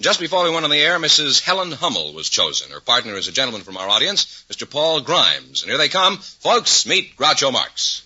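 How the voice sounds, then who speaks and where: A man's voice: medium pitch, sing-song, brisk, few pauses, bright, forceful tone, slightly rough. An American man announcing a 1950s radio show, heard on a muffled and very noisy vintage recording.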